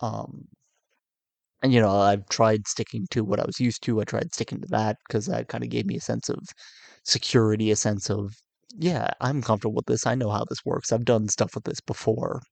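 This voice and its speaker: A tired young man in his twenties, coming down with a cold, with a low raspy voice, talking hesitantly and candidly to his viewers. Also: steady tone, normal speed, no room sound. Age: 20s. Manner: hesitant, soft-spoken, many fillers and restarts. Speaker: YouTuber. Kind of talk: candid confessional vlog.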